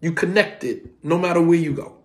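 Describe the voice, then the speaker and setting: A man in his forties gives an online class from his home, speaking straight into a phone camera at his desk. His voice sits fairly high for a man. He talks in a casual, animated way and drives points home by repeating them. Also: moderate pace. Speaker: Islamic teacher. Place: home room, indoors.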